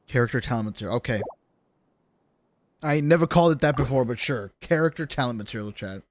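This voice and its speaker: A man's voice: medium pitch, lively intonation, fast talker, slight background noise. A man doing casual, joking game livestream commentary, swearing now and then as he talks to his chat. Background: video game music and sounds.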